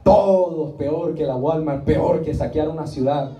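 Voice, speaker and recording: A middle-aged man preaching to a congregation, emphatic and repetitive, drawing out key words and pausing for responses. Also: fairly high pitch, highs cut off. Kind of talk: Spanish-language church sermon with rhetorical repetition and acted asides. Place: small church meeting room, indoors. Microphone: handheld microphone, recorded from the seats. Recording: roomy, distant audience recording with faint noise.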